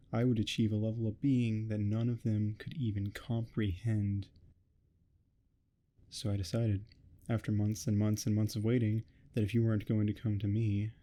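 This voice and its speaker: Very dark-voiced obsessive neighbor, acted by a man. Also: lowish voice, lightly acted. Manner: theatrical, grandiose monologuing, smugly threatening. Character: obsessive male neighbor, vampire-obsessed, menacing and delusional. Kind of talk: ASMR roleplay, dramatic monologue.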